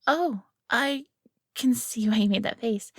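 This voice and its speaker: A woman's voice: a little high, measured pace, no echo, clean studio sound. A woman playing a friendly, nervous fox companion in a fantasy audio roleplay, speaking to the listener in a hesitant, chatty way. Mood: awkward, kind.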